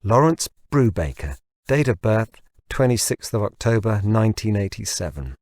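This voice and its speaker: Male narrator for fiction audiobook: lowish pitch, close, dry recording. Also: sing-song, moderate pace, a little mellow, no echo. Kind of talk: scripted narration of a science fiction novel.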